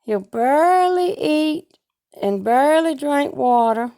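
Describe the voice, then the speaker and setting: Brief: woman vlogger, hoarse from a cold, high voice, unhurried homespun rambling. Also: expressive, warm tone. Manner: slow, homespun, rambling talk with regional grammar. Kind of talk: casual home vlog chat. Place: at home.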